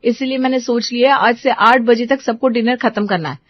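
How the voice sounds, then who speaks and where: A woman's voice: a little high, moderate pace, no echo, highs cut off, natural voice. A woman voicing a strict, fed-up young daughter-in-law, firm and lecturing, in a family story.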